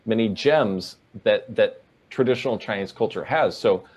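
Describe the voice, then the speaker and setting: A man from Oregon answering interview questions as a guest, in an earnest, measured way. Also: fairly low pitch, darkish tone, slightly rough, muffled recording. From Portland, Oregon, USA.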